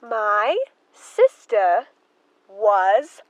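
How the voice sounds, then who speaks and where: A woman's voice: medium pitch, lively intonation, very slow, no echo, highs cut off. A woman acting an anxious, easily distressed spinster sister in a radio drama, fluttery, pleading and defensive.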